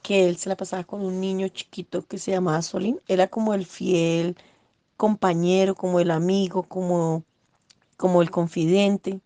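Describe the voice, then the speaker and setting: A Colombian-accented woman in her forties casually sharing memories, halting as she searches for words. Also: on the low side, little pitch movement, dark tone, no echo. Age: 40s. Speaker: interviewee. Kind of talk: casual recollection.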